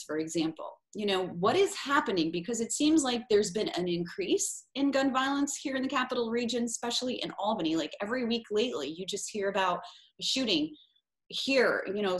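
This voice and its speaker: A woman's voice: medium pitch, a bit bright, poor audio. A woman of Portuguese background hosting a talk show, asking questions in a friendly, chatty way. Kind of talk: interview.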